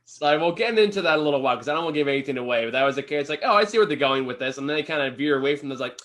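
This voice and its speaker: A man's voice: on the high side, lively intonation, very fast, fairly bright tone. A tired-sounding man giving a casual, unscripted film review in informal, sweary language. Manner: profane, informal.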